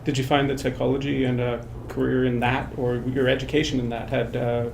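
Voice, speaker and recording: A man's voice: mid-range voice, level tone, a little fast, vocal fry, a little mellow, reverberant, slight background noise, rough recording. A man hosting a panel podcast in a casual, encouraging way, often saying "right on". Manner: upbeat, encouraging, says "right on". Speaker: podcast host. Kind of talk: casual interview chat. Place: office meeting room.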